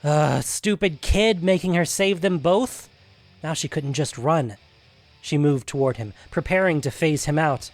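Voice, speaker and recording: A man's voice: on the high side, a little mellow. A man reading scripted narration for a fantasy adventure story.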